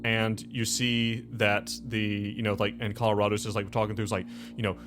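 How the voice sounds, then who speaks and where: A man narrating a tabletop game as game master, informal and halting, leaning on filler phrases. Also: lowish voice, level tone. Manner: informal, halting, full of "like" and "you know". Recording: music playing behind the voice.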